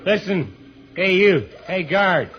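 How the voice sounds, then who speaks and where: A man's voice: high voice, animated, slow pace. A man playing a wry, folksy, grumbling but loyal sidekick in a 1950s radio adventure serial. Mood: grumbling sarcasm. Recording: muffled noisy old radio recording.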